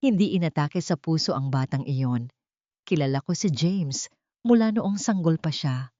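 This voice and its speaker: Old groundsman for audiobook drama, female reader: sorrowful, low, deliberate, loyal. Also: expressive, dark tone, no echo. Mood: grave, urgent. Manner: grave, deliberate. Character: old male gardener, grave, sorrowful, protective. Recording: dull, dry lo-fi recording.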